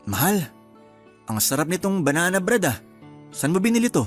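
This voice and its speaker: A man's voice: high-pitched, sing-song, moderate pace, soft background music. A man playing a hardworking, loving young husband in a Filipino radio drama, affectionate and easygoing. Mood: pleased, easygoing.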